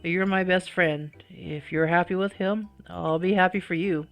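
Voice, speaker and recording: A woman voicing a jealous, possessive teenage boy in a visual novel, grave and deliberate. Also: lowish voice, fairly even intonation, vocal fry, faint music behind. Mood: grave, hesitant. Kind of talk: visual novel character reading.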